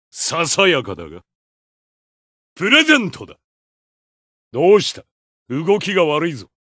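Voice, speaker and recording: A man's voice: fairly high pitch, sharp tone, no room sound, clean studio sound, heavily acted. A man voicing a haughty, ruthless video game supervillain with a god complex, contemptuous, menacing and commanding. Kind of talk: Japanese fighting game voice acting.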